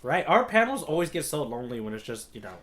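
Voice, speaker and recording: A man's voice: on the high side, sing-song, fast, crowd noise. A man co-hosting a podcast, recapping a story informally and checking in with his co-host as he goes. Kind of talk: casual conversational story recap.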